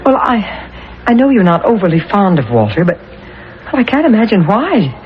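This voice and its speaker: An American actress playing an anxious, devoted middle-aged wife in a radio drama, speaking in an earnest, tender, hesitant way. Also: female voice, mid-range voice, very animated, moderate pace, lightly acted. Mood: reassuring, careful. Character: anxious, devoted wife tenderly soothing her accused husband. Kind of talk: old-time radio drama acting. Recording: muffled, noisy 1970s radio broadcast.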